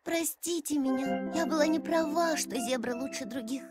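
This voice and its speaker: A woman's voice: sits high, moderate pace, lightly acted. A woman voicing an earnest, bossy young zebra girl in a children's cartoon, with an insistent, lecturing manner. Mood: apologetic. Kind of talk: animated children's cartoon acting. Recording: music under the voice.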